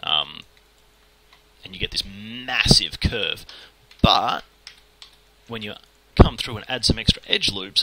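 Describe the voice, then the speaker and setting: A man with an Australian accent talking fast and casually through a screen-recorded modelling tutorial, muttering at the software as he works. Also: on the low side, slight background noise, low-quality recording. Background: keyboard typing and mouse clicks.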